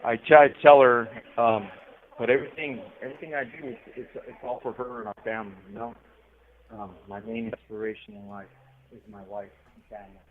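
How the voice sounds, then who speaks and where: A middle-aged man from Southern California talking casually and reflectively from prison over a phone line, in a halting way. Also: mid-range voice, steady tone. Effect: telephone line. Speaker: incarcerated interview guest calling from prison. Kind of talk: casual personal storytelling and reflection. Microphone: telephone handset.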